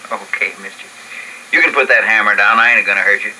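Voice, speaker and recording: An actor playing a folksy, easygoing drifter who talks plainly and adopts a daughter, in vintage radio drama style. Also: male voice, fairly low pitch, fast talker, bright, forceful tone, slight background noise, rough recording. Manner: drawling folksy talk, plainspoken.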